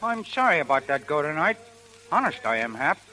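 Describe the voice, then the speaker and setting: Girl-crazy young pug, naive and stubborn, bright lively voice, crackly old radio recording. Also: a little high, a little fast. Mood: sheepish. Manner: slangy, naive. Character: dim, girl-crazy young male boxer, sheepish and stubborn. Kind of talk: 1930s radio comedy-drama acting. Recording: noisy crackling 1930s radio broadcast.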